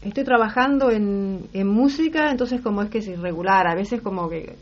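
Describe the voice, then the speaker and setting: A woman's voice: medium pitch, moderate pace. Rioplatense woman guest, muffled sound. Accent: Rioplatense Spanish. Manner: thoughtful, trails off and restarts mid-sentence. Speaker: guest, actress and singer. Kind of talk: casual interview talk. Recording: slightly muffled broadcast audio.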